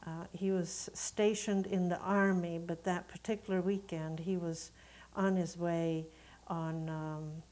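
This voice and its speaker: A middle-aged or older woman from Sweden, a native Swedish speaker, telling her story as an interview guest in a measured, deliberate, reflective way. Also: fairly low pitch, level tone, a little mellow. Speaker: guest, Unitarian Universalist minister.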